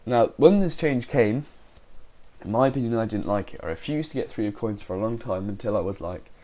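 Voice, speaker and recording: A man's voice: on the low side, expressive, a little fast, mellow. Man with a sore throat. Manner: rambling, frequent self-corrections. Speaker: gaming YouTuber. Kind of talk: casual commentary video.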